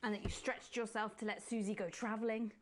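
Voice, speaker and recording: A woman's voice: medium pitch, steady tone, brisk, natural voice. A woman doing a posh late-night TV hostess who signs off in a polished, silky tone. Her voice sits in a middle register, and a faint hiss runs under it. Her sign-off slides into creepy, pleading devotion. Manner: polished, then pleading and unsettling. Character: posh smooth-talking female TV presenter, creepy and obsessive. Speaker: presenter.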